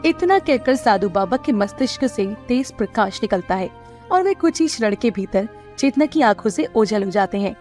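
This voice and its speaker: Female storyteller, higher pitch, scripted moral-tale narration, faint music underneath. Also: animated, moderate pace, a little mellow.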